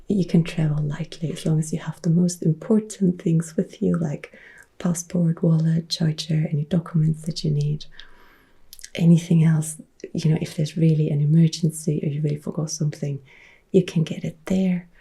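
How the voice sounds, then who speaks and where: A woman in her thirties from Upper Austria with an Austrian German accent, softly whispering and quietly answering viewer questions as an ASMR host. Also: deep voice, steady tone, moderate pace, vocal fry, slightly rough. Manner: soft whispering, mixed with quiet speech. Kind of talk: ASMR Q&A chat. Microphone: close-up sensitive ASMR mic.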